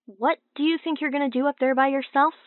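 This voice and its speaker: A woman's voice: high voice, steady tone, fast, dry recording, voice pushed up. Woman voicing exasperated male detective, tinny phone sound. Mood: probing. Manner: pressing, questioning, blunt. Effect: phone filter. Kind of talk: audiobook character dialogue. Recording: tinny band-limited phone-call effect.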